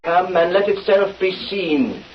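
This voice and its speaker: Slow, portentous fake spirit medium. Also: male voice, high-pitched, lively intonation. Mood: solemn, eerie. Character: solemn, theatrical fake spiritualist medium, a swindling man. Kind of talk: 1930s radio acting. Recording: muffled, hissy old radio recording.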